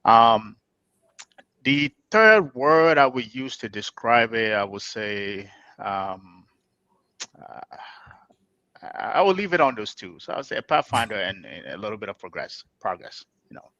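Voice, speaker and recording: Halting man, dry lo-fi sound. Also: on the low side, expressive, bright, forceful tone, no room sound. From Liberia. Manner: halting, searching for words. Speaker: panel guest. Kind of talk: casual panel discussion.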